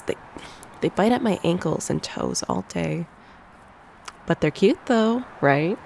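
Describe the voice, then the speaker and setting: A woman playing a succubus college teacher with a low voice and a slow, soft, teasing way of talking. She is nurturing and speaks close to the microphone in an ASMR roleplay, over clearly audible background noise. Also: heavily acted. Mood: playful teasing. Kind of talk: ASMR roleplay, one-sided conversation. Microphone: close binaural microphone.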